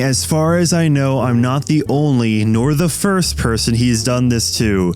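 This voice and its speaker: Middle-pitched man narrating over faint music. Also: darkish tone. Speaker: video commentator and narrator. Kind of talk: scripted narration quoting social media posts.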